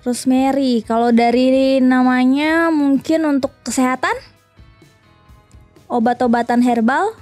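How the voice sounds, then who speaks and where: A woman's voice: high voice, fairly even intonation, measured pace, darkish tone, no echo, soft background music. A woman from East Java guessing in a casual interview, sounding unsure.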